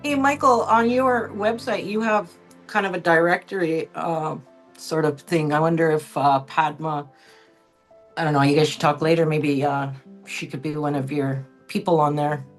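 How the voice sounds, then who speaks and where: A woman's voice: low-pitched, steady tone, moderate pace, soft background music. A woman hosting an online meetup, upbeat and chatty, talking about astrology on a video call. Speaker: meetup host. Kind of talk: casual group chat on a video call.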